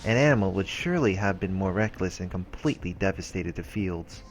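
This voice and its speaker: Deep-voiced man reading over music. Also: lively intonation, brisk, rough recording. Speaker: let's play host. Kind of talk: reading visual novel text aloud.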